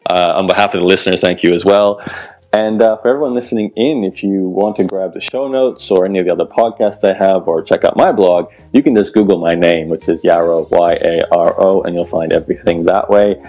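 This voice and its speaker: A man from Australia with a Canadian-sounding accent, hosting a podcast interview in a relaxed, thoughtful way with brief fillers. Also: low-pitched, fairly quick. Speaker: podcast host. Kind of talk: casual interview wrap-up.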